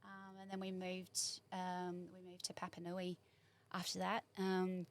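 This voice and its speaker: A woman in her forties with a New Zealand accent chats as a guest on a small studio podcast. She talks warmly and rambles as she recalls things, with plenty of casual fillers. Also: fairly low pitch, completely flat delivery, a little slow. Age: 40s. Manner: warm, chatty, rambling recollection. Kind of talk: casual interview chat.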